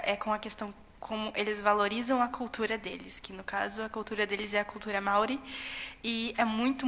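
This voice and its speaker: A young woman with a Brazilian accent speaks in a very bright, forceful voice with rather even pitch. She recounts things informally and haltingly, in a hall with long reverb. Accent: Brazilian Portuguese. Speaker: exchange student giving a testimony. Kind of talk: informal spoken account at a public session. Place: council chamber.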